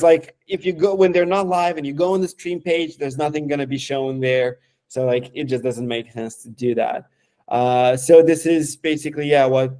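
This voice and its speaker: Mellow-voiced man demoing software. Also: fairly high pitch. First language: Romanian. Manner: halting, lots of fillers and restarts. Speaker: software developer. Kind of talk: casual screen-share product demo.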